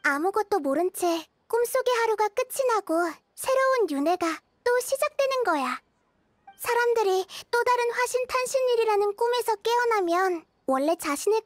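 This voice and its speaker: A child-like game goddess with a very high, dry-recorded voice. She is gentle and composed, explaining riddles patiently like a small, wise teacher. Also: expressive, normal speed, no echo. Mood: calm explaining. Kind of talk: scripted game dialogue.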